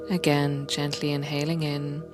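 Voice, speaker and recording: A woman guiding a meditation in a gentle, unhurried, soothing way, often softening toward a breathy whisper. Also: low-pitched, flat delivery, over loud music. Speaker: meditation guide and coach, podcast host. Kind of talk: guided meditation, soothing slow instruction.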